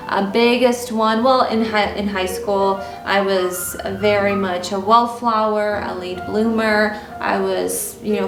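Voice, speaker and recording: A woman in her thirties, from Ukraine and a native Russian speaker, talks candidly to camera at a middle pitch, filling her stories with little ums and uhs. The room rings with a noticeable echo, and music plays clearly behind her. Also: far from the mic. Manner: candid, conversational, with many fillers. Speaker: style and mindset vlogger. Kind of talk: personal storytelling to camera. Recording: roomy echo with music bed.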